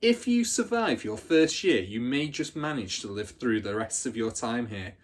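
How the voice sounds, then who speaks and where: A man's voice: mid-range voice, expressive, moderate pace, a little hiss or hum, natural voice. A man voicing a rugged ten-year-old bully girl who is scornful, boastful and dramatic in a storybook reading. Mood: menacing relish. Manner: scornful, relishing grisly details.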